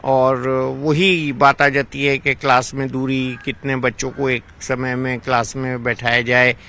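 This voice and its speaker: A man's voice: medium pitch, flat delivery, measured pace, sharp tone. A man, a doctor, explaining his advice in a news interview. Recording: noisy location interview, faint ambience.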